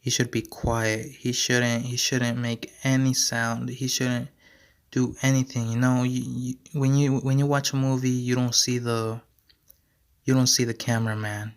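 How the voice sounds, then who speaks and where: A young man hosting his own podcast, rambling in a slangy, repetitive way and going hoarse as he talks. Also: mid-range voice, flat delivery, unhurried. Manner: slangy, repeats himself, many fillers. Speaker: podcast host. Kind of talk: casual rambling monologue.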